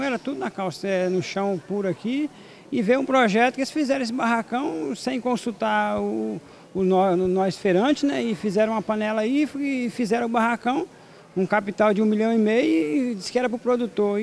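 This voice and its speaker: Middle-aged Brazilian male vendor, very high gravelly voice, colloquial interview delivery in an open market hall. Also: fairly quick, darkish tone, a little hiss or hum. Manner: colloquial, repetitive phrasing. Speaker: market vendor and produce grower. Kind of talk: street interview, informal complaint. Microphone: handheld reporter microphone.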